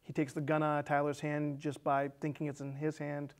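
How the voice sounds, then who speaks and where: Guy in his 30s, voice a bit on the high side, casually retelling a movie like on a film chat show. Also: completely flat delivery, fairly quick. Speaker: film discussion show co-host. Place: small indoor studio set with curtains.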